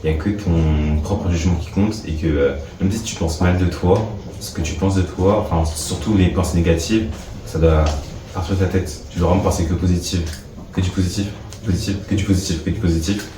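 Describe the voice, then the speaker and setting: An earnest young man with a very low voice answers interview questions, repeating himself for emphasis. He sounds set back from the mic in an echoey room, with background noise clearly audible. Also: fairly even intonation, a little fast, distant mic. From France. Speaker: workshop participant.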